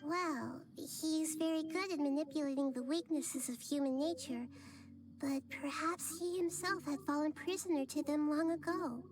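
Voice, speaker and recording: A gentle, wise young goddess, voiced by a woman, speaks in a high, soft and thoughtful voice. She sounds calm and earnest, with game music playing behind her. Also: normal speed, lightly acted. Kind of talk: game cutscene voice acting.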